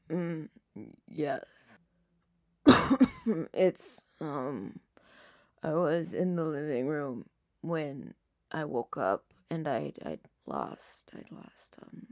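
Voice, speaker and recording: A woman voicing an injured, apologetic young man in a story, with a raspy, breathy, halting voice. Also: sits low, sing-song, a little mellow, no room sound, pitched below the performer's own voice, exaggerated voice. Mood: hesitant, pained. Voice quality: raspy, breathy, broken by coughs. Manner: halting, faint, hesitant. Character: injured, weak, apologetic young man, strangled and struggling to speak.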